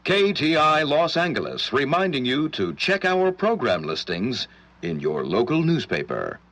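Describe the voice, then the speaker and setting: Swooping American 1940s station announcer. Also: male voice, a little high, big pitch swings, a little slow, fairly bright tone, exaggerated voice. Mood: formal, showy. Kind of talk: 1940s radio station identification.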